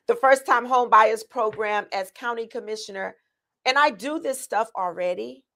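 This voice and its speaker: A woman's voice: mid-range voice, creaky, a bit bright. A woman running for county commissioner, earnestly laying out her plans with many ums and restarts. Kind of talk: answering interview questions.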